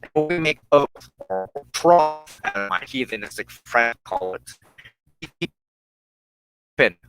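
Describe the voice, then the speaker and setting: A man hosting a religious broadcast, giving a solemn, measured sermon of personal testimony and teaching with emphatic phrasing and deliberate pauses. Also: mid-range voice, lively intonation, music in the background.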